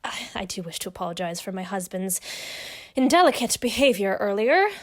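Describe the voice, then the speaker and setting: A woman's voice: mid-range voice, lively intonation, a little fast, natural voice. A woman playing a spirited, outspoken noble wife in an audio drama, with poised, formal period speech. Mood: confiding, wry.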